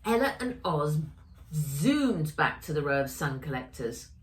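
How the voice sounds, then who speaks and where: A woman author reading her children's story aloud in an expressive storytelling style. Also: low-pitched, unhurried.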